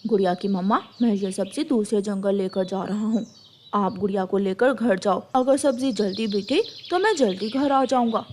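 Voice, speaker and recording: A woman's voice: mid-range voice, normal speed, a little mellow, a little hiss or hum, pitched below the performer's own voice, close to an everyday voice. A woman voicing a caring, hardworking father bird who sells vegetables in a children's cartoon, kindly and patient.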